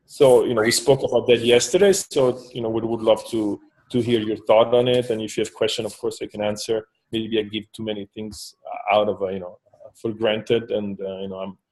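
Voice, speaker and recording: A man's voice: on the low side, little pitch movement, brisk, darkish tone, rough recording. A man from Switzerland, a startup co-founder, talking through a partnership in a business meeting, hesitant with many fillers and restarts.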